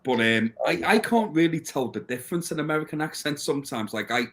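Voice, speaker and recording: A man hosts a loose, casual chat, speaking bluntly and swearing freely. His voice is animated and moves around a lot in pitch. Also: quick pace. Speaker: podcast host.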